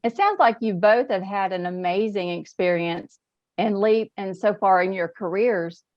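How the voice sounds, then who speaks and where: A woman's voice: medium pitch, lively intonation, normal speed, dry recording. A woman hosting an interview from a script in a polite, formal manner, heard through muffled audio. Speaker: interview host.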